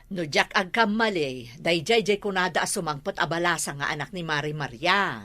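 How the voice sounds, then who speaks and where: A woman's voice: fairly low pitch, moderate pace, pushed and bright. A middle-aged woman with an Ilocano accent, playing a mother in a comedy drama, scolding and advising.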